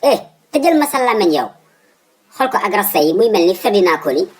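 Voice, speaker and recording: A man's voice: high-pitched, very animated, fairly quick, noisy background. A man voicing a fussy, stubborn old father in a comic cartoon, with a Senegalese accent, exclaiming and repeating his words emphatically. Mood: scornful.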